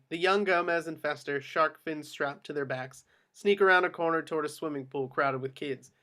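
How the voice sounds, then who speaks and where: A man's voice: a little high, a bit bright. A man reading out screenplay action directions as scripted narration at a table read. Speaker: action-line reader.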